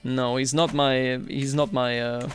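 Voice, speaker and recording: A man's voice: medium pitch, little pitch movement, unhurried. A man giving live roleplay commentary over a strategy game, thinking aloud and now and then addressing characters theatrically, with music behind him. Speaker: gaming video host.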